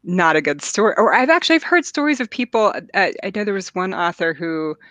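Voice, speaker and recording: A woman's voice: mid-range voice, animated, fairly quick, fairly bright tone, dry recording. A woman author chatting casually about writing, in a thoughtful, somewhat hesitant manner. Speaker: author guest.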